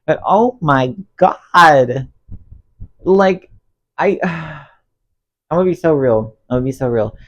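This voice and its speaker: A man's voice: on the high side, slow, long pauses, dull audio. A man vlogging a rambling, emotional rant to camera while cleaning his bedroom, congested and losing his voice. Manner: rambling, emotional, self-talk, expressive swings. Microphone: phone on stand under ring light.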